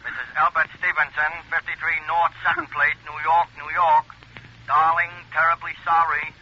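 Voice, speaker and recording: Male telegram clerk: fairly high, very bright voice, formal, indifferent, old radio play. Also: normal speed. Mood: businesslike, detached. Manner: formal, flat recitation. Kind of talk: 1940s radio drama acting. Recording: muffled old radio, telephone-like.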